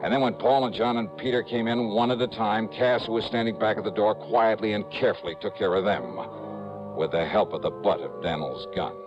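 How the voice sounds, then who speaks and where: A man's voice: lowish voice, fairly quick, over music, natural voice. An actor playing a cool, hard-boiled male investigator narrating his case in clipped, wry 1950s radio noir style. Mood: tense, wry. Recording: dull vintage radio recording.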